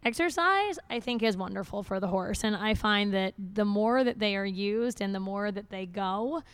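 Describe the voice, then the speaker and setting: A woman veterinarian answers a question in a crisp, clear voice recorded dry and close. She talks casually but with confidence. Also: mid-range voice, lively intonation, moderate pace, no room sound. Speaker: veterinarian panelist. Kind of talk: live panel Q&A.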